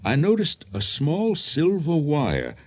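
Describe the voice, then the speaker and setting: An actor playing a steady man in a radio thriller, with a measured, dramatic delivery. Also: fairly high pitch, muffled recording, exaggerated voice. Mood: intent. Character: steady man recounting his narrow escape.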